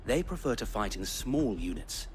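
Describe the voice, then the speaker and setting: Brief: chivalrous resistance knight for a fantasy game, lowish voice, measured and courteous, some ambient noise. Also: male voice, big pitch swings. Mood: confident. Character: loyal, chivalrous knight leading resistance fighters. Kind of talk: dramatic video game acting.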